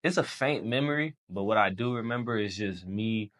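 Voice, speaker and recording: Deep low voice, young man, Haitian-born Creole speaker, laid-back storytelling, slangy. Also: normal speed. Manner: relaxed storytelling, slangy, says bro often. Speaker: podcast guest. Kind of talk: casual chat.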